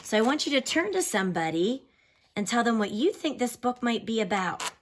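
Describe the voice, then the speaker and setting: A woman's voice: fairly high pitch, big pitch swings, a little hiss or hum. Woman reading a picture book aloud, teacherly. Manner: instructive, explains words and pauses to pose questions. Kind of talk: expressive read-aloud with teaching asides.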